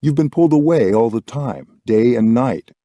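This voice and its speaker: A man reading a scripted spiritual audiobook with measured, deliberate phrasing. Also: mid-range voice, expressive, warm tone, dry recording. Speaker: audiobook reader.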